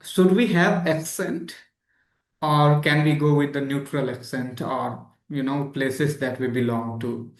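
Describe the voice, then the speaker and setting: A man's voice: mid-range voice, steady tone, measured pace, reverberant. A man hosting a casual interview, asking questions hesitantly with many fillers. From India. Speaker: channel host.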